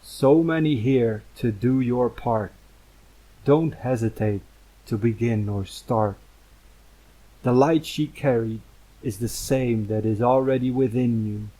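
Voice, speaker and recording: A man's voice: mid-range voice, takes it slowly, mellow, rough recording. A man reading a poem aloud as a recitation. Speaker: poem reader. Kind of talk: poetry recitation.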